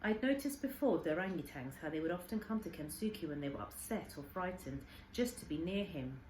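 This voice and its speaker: Low-voiced, expressive woman storyteller. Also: fairly quick. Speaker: teacher reading a story aloud. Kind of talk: expressive children's storybook narration. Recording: echoey room, faint background noise.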